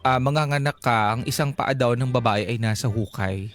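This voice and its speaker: A man's voice: medium pitch, normal speed. A Filipino man hosting a radio advice show, talking conversationally and asking probing, reflective questions. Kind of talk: conversational advice interview, code-switching. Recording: radio broadcast with music underneath. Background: background music.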